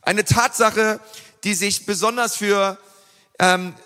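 High-voiced bright preacher in a hall. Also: male voice, steady tone, takes it slowly. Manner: earnest, emphatic preaching with frequent fillers. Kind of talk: sermon. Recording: long reverb of a large hall.

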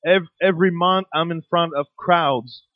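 A man from Quebec with a Québécois French accent, preaching as a guest pastor in short phrases with pauses for an interpreter. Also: fairly high pitch, dry recording, phone-quality sound. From Quebec, Canada. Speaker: guest pastor, youth movement leader. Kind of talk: charismatic preaching and prayer through an interpreter.

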